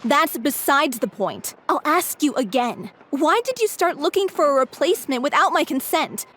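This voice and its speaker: A woman's voice: sits high, expressive, moderate pace, a bit bright. A woman voicing a determined, earnest stage actress in an anime video game. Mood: indignant. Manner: firm, earnest.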